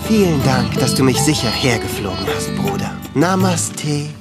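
A man voicing a smug, serene troll guru in an animated film, with a soothing, affected spiritual calm. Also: mid-range voice, normal speed, lightly acted. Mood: serene gratitude. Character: smug, serene male troll guru, self-absorbed and sly. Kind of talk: animated film character acting. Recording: music behind the voice.

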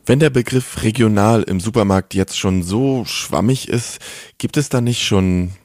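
A man's voice: fairly low pitch, measured pace, darkish tone, no echo, clean studio sound. A man working as a podcast interviewer, putting prepared questions to a guest.

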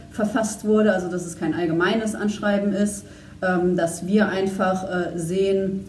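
A woman from human resources calmly explaining the application process, with many filler sounds. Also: medium pitch, recorded from a distance. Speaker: HR officer in charge of trainees. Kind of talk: explaining in a podcast interview. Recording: roomy echo from off mic with faint noise.

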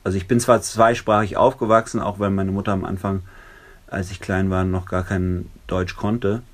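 A man whose first language is Italian, answering interview questions in a thoughtful, conversational way, pausing to search for words. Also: low voice, little pitch movement. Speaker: interview guest, song translator.

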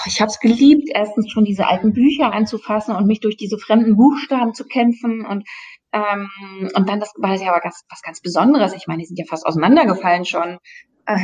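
A woman's voice: mid-range voice, slightly rough. A middle-aged woman from East Berlin, a guest voice actress, chatting casually in an interview with many fillers and restarts. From East Berlin, Germany. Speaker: guest, radio play voice actress.

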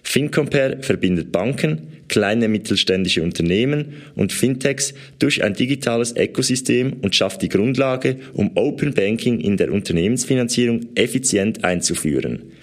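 A man's voice: lowish voice, expressive, moderate pace, hardly any pauses. Man with a Swiss German accent. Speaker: ad spokesman. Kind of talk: scripted ad read.

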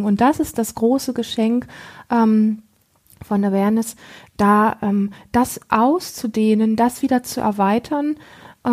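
An earnest woman explains inner awareness in a coaching talk, stopping often to search for the right word. Her voice sits a little high and sounds mellow and breathy. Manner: earnest, searching for words with many fillers. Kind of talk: reflective teaching talk.

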